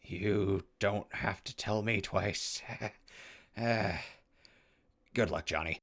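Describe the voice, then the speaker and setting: A man acts out an irreverent ghoul wanderer in an audio drama. His voice is fairly low and full of gravel. He talks casually, with dry, sardonic ease. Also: takes it slowly, heavy background noise. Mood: easygoing. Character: wry, easygoing, irreverent ghoul wanderer narrating his journey. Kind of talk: post-apocalyptic audio drama acting.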